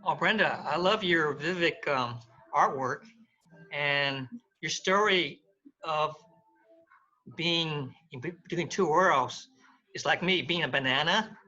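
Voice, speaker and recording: A man's voice: fairly high pitch, takes it slowly, pauses often, sharp tone. A man from the United States earnestly praising an artist, stumbling over repeated words. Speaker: audience member. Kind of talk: casual comment. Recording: dull lo-fi sound.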